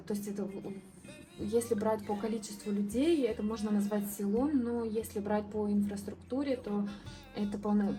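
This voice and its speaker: Young woman from southern Moldova, art vlogger, mellow tone, relaxed and rambling delivery. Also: medium pitch. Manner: relaxed, rambling, many fillers and restarts. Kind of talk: casual painting vlog voice-over.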